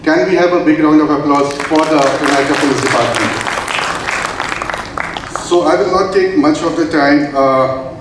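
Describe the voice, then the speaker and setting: A man's voice: a little high, normal speed, pauses often, a crowd in the background, noisy background. Man giving a formal speech in an echoey hall. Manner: formal, hesitant, with many fillers. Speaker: industry representative moderating a panel. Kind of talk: formal speech at a public event. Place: large event hall. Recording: echoey hall, heard from a distance.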